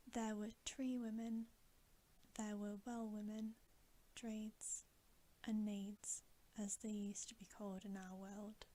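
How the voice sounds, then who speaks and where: A woman reads a bedtime story in a soft, breathy ASMR whisper. Her tone is mellow and stays very even. She takes her time, gently and soothingly, at a slow pace. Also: medium pitch, poor audio.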